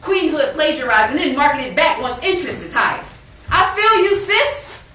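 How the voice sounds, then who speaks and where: A woman's voice: high-pitched, big pitch swings, normal speed, bright, forceful tone, exaggerated voice. An actress playing a proud, outspoken woman in a stage play, declaiming with emphatic spoken-word delivery. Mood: impassioned, proud. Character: proud, outspoken woman friend, poetic and affirming. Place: indoor stage, live play. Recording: echoey stage hall, distant mic, noisy.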